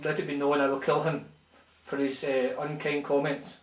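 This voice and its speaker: A man with a Scottish accent, calling in and posing as a famous fighter, mock-serious and deadpan in a comic phone-in bit. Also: fairly high pitch, moderate pace, roomy sound, close to an everyday voice, low-quality recording. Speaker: caller.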